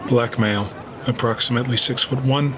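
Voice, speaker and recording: A man playing a hard-nosed, distrustful police officer in an audio drama, speaking in a gruff, sardonic way. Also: medium pitch, fairly even intonation, brisk, a crowd in the background, lightly acted. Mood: cynical, watchful. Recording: noisy outdoor city street ambience. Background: urban outdoor ambience.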